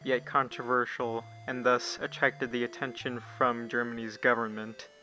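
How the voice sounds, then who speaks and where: Male narrator, mid-pitched, scripted educational explainer delivery, music underneath. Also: little pitch movement, moderate pace, bright, forceful tone, rough recording. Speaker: narrator of a puppet show.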